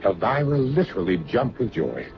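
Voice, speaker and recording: An actor playing a wary, reluctant husband in a radio drama, hesitant and skeptical. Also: male voice, lowish voice, very animated, normal speed, gravelly. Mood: narrating. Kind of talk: radio drama acting with story narration. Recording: dull old radio recording, music behind.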